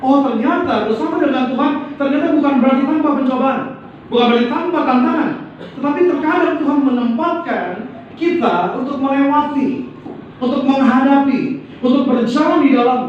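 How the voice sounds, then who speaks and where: High-voiced male pastor preaching in an echoey church. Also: slight background noise. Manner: call-and-response, prompting the congregation to repeat and say amen. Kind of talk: sermon. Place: church sanctuary. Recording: echoey church hall, distant dull mic.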